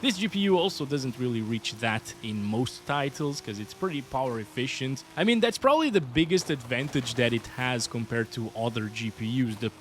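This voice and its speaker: A man's voice: medium pitch, animated, brisk, hardly any pauses, fairly bright tone, slight background noise. A man with a Portuguese accent giving chatty, upbeat commentary over gameplay as a hardware reviewer. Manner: chatty, upbeat, often says "guys".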